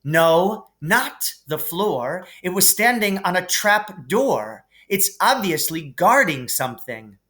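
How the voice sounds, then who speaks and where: A man's voice: high voice, big pitch swings, takes it slowly, hardly any pauses, sharp tone, voice pushed up, heavily acted. A man voicing a bossy, bad-tempered schoolgirl who snaps and scolds, in a storybook reading. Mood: indignant scolding. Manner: snappish, scolding. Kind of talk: storybook character voice in read-aloud.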